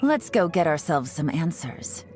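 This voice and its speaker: An actress playing a cool, sly young woman intelligence agent in a video game, composed and teasing in a knowing, unhurried way. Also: low voice, sing-song. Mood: determined, cool. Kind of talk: video game character voice lines. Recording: studio voice over background music. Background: music.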